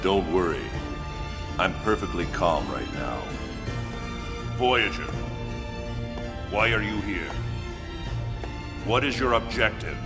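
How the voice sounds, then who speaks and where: A man's voice: low-pitched, lively intonation, over music, full character voice. An actor playing a stoic, coolly controlled veteran fighter, a middle-aged man, in a video game cutscene. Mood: cold, calm. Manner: cool, measured. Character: stoic, menacingly calm veteran male fighter. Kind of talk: English dubbed video game cutscene acting.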